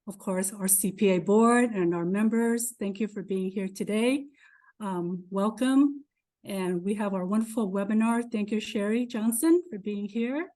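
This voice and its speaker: A woman's voice: mid-range voice, a little mellow. A woman serving as an association president, giving an effusive welcome on a video call with many fillers. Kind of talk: welcome address.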